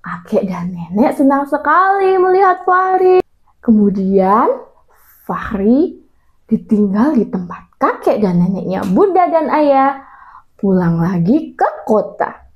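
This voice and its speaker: A woman storyteller speaks slowly in a high voice that swings animatedly up and down. She addresses young children directly and asks them questions. The recording is a little dull.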